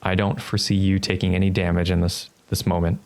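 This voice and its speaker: The deep, crackly man behind the screen at game night, pausing to think as he paints the scene for his players. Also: level tone, gravelly. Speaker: game master. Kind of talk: narrating a tabletop roleplaying game, improvised.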